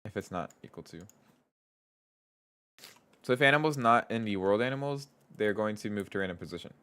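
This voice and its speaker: A man with a stuffy nose, streaming live as a game developer, chatting casually, reading chat aloud and thinking out loud as he codes. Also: lowish voice, lively intonation, a little fast, clean tone. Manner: thinks aloud, reads chat aloud, trails off and restarts.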